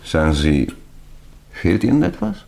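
Low male voice, gaunt older swindler, courteous, brisk, businesslike, radio drama. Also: wildly swooping pitch, creaky, darkish tone, full character voice. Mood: polite, probing. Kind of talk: 1960s German radio drama acting.

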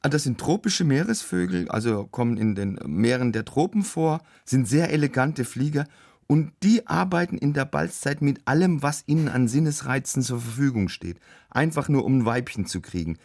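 Gravelly-voiced man with a cold. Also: medium pitch, expressive, moderate pace, a little mellow. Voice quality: rough, husky from a cold. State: has a cold. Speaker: biologist guest. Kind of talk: explanatory chat.

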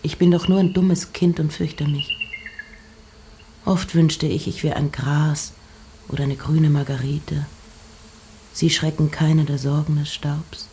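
A woman giving an intimate, measured dramatic reading of poems and letters, at times dropping close to a whisper. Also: sits low, fairly even intonation, noisy background. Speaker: reciter.

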